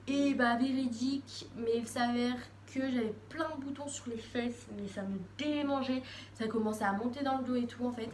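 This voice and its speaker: Une adolescente qui parle face à sa caméra, bavarde, hésitante, avec beaucoup de « euh » et de reprises. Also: female voice, on the high side, steady tone, moderate pace, darkish tone. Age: teens. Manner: chatty, rambling, many fillers and restarts. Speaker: vlogger. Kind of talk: casual talk to camera. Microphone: phone camera. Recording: echoey room, faint background noise.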